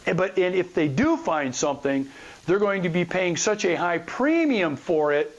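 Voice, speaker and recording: High-pitched man, emphatic podcast host. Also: expressive, normal speed, lo-fi sound. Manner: emphatic, repeats phrases and asks rhetorical questions; blunt, colloquial. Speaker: podcast host and real estate investor. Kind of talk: informal advice monologue to listeners.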